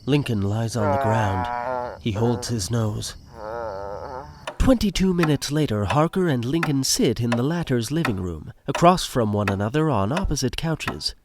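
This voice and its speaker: A man's voice: medium pitch, lively intonation, a little slow, background noise. A man reading scripted narration for an audio drama. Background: music.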